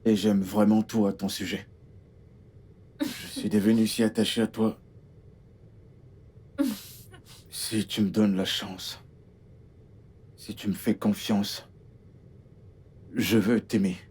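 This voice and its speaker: An actor playing a kind, generous employer, protective and insistently soothing, in a melodramatic film. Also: male voice, lowish voice, flat delivery, slow, long pauses, a little mellow, slightly rough. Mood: tender. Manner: insistent, soothing, repeats himself. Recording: music under the voice.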